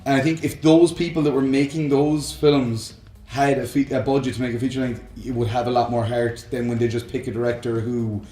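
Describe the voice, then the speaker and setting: A man's voice: mid-range voice, little pitch movement, a little fast, warm tone, roomy sound, faint music behind. A man co-hosting a casual chat about films and games, talking in a rambling, enthusiastic fan's way. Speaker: podcast co-host.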